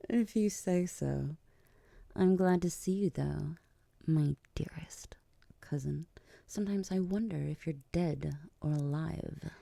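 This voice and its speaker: A woman acts out a regal vampire queen for an ASMR audio drama. Her voice is low and mellow, recorded very dry and close. She speaks with measured, hushed poise and a teasing streak. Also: creaky, no room sound, full character voice. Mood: amused, teasing. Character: regal, teasing vampire queen, composed older-cousin type. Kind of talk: ASMR audio roleplay acting.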